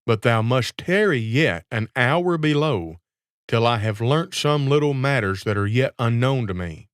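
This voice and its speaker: A man's voice: mid-range voice, animated, a little slow, clear, resonant tone, no echo, clean studio sound, natural voice. A man voicing a crafty fairy tale gardener who poses as a grand sage, proclaiming in archaic, grandiose speech. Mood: condescending.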